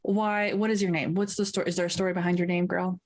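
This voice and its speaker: A woman's voice: fairly low pitch, flat delivery, fast, darkish tone, slight background noise. A woman from Canada hosting a podcast interview, friendly and upbeat, laughing easily. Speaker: podcast host. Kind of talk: interview chat.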